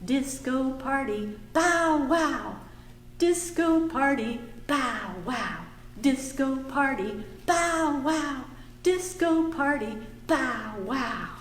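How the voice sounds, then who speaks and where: A woman reading a picture book aloud to small children at a library storytime, singing the refrains. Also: high voice. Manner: slow, singsong, animated for small children. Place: library room. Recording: echoey room, faint background noise.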